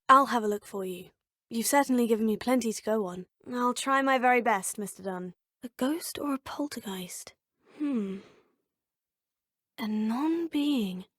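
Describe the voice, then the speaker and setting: A woman's voice: on the high side, no room sound, clean studio sound. A woman with a British accent voicing a curious, polite young witch student in a video game. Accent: British English. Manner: polite, proper, crisp phrasing. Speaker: player character. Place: recording studio.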